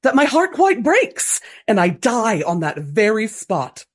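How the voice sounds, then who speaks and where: An actress in a radio play voicing a gushing, melodramatic amateur stage actress of the Regency era, speaking with theatrical earnestness. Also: female voice, a little high, sing-song, a little slow. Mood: tragic, theatrical. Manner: theatrical, breathy earnestness, dramatic flourishes.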